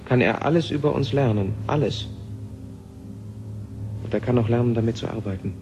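A man's voice: mid-range voice, moderate pace, highs cut off, music in the background, lightly acted. An actor playing an insistent, argumentative male scientist in a 1970 German radio drama, speaking with emphatic intensity. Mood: intent. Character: insistent male scientist warning of an alien machine. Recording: noisy 1970 radio play recording.

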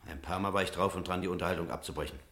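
An actor playing a stern, sceptical village priest in a radio drama, speaking in measured, literary phrases. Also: male voice, low-pitched, a bit bright. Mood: grave, reflective. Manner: measured, rhetorical, literary diction. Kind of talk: German radio drama acting with narration.

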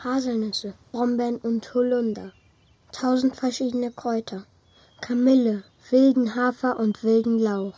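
A woman playing a curious, earnest young grandchild in a radio play, with a soft husky voice. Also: on the high side, steady tone, a little slow. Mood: earnest, admiring.

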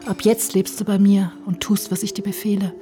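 An actress playing a proud, commanding film star in a German radio drama, cool and provocative, often dropping to a near whisper. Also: female voice, medium pitch, flat delivery, brisk, warm tone, faint music behind, natural voice. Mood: taunting, intimate.

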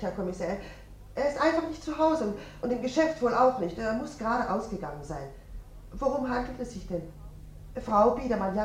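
An actress playing an anxious, dutiful bourgeois wife in a radio play, polite and hesitant. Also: female voice, a little high, reverberant, a little hiss or hum, close to an everyday voice, poor audio. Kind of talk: German radio play acting.

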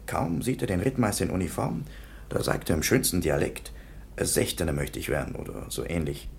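A man's voice: low voice, brisk, vocal fry, a little hiss or hum, heavily acted. An actor playing a perplexed, somewhat dismissive police commissioner giving his report in a radio play. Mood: bemused, recounting. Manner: animated storytelling, dismissive.

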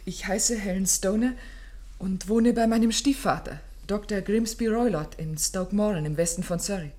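A woman's voice: mid-range voice, normal speed, mellow, close to an everyday voice. An actress playing a distressed, genteel young woman in a radio play, speaking formally and dramatically. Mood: grave recounting. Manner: formal, dramatic, pleading phrasing.

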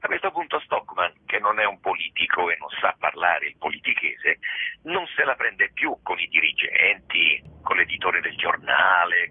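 Low raspy guy on a tinny phone line, dry sound, keeps pausing to find his words. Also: dry recording. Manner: searching for words, frequent pauses and hedges. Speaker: theatre director and actor, radio guest. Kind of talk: radio interview, explaining a play.

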